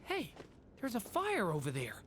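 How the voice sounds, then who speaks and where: A woman's voice: medium pitch, huge pitch swings, moderate pace, heavy background noise. A woman voicing a resolute, thoughtful young dragon boy adventurer in a video game. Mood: earnest, determined. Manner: thoughtful, earnest.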